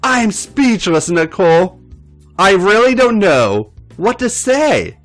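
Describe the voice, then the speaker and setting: A man reading a story aloud and voicing a nervous young woman in a high, shy falsetto. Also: big pitch swings, takes it slowly, a bit bright, soft background music, full character voice.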